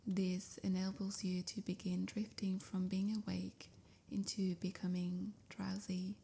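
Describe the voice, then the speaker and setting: A woman softly guiding a sleep meditation in a breathy near-whisper, with soothing, measured phrasing. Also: mid-range voice, level tone, slight background noise. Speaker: meditation guide. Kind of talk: guided sleep meditation, scripted.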